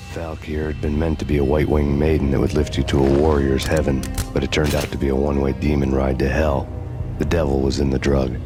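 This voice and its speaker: A bitter, hardboiled ex-cop narrating his revenge in noir voice-over. His voice is extremely deep and barely moves in pitch, brooding and deadpan. A loud music score runs underneath.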